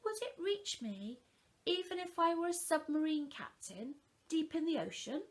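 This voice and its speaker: A woman's voice: high-pitched, big pitch swings, unhurried, voice pushed up, exaggerated voice. A woman reading the part of an imaginative, doubtful little boy with an eager, questioning manner in a children's story. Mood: eager, wondering.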